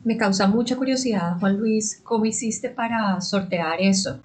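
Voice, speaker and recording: A woman hosting an interview podcast, asking questions in a measured, careful way and sharing her own experience. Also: medium pitch, a little mellow. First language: Spanish. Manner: measured, careful, uses fillers. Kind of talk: interview questions with personal anecdotes.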